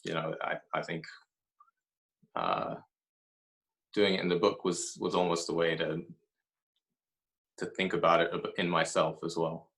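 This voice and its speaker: A man, an author being interviewed, answering in a reflective way with frequent pauses and restarts; he grew up in Haiti and Ethiopia. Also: sits low, creaky, a bit bright, poor audio.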